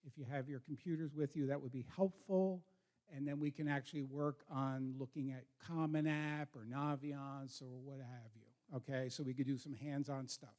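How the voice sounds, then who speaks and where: A man's voice: medium pitch, fairly even intonation, normal speed, darkish tone. A man presenting as a school counselor, informal but direct and instructive as he addresses students and parents. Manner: direct, instructive, questions the audience. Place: school meeting with an audience.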